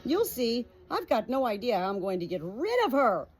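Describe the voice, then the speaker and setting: A woman's voice: a little high, very animated, normal speed, breathy edge, faint music behind, voice pushed up. A woman voicing an exasperated, blunt shepherdess defending herself in a storybook. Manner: exasperated, hissing when alarmed.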